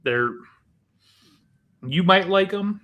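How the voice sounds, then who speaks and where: A man's voice: high voice, expressive, drawn-out words, lo-fi sound. A man co-hosting a show in casual chat as he tries snacks and drinks.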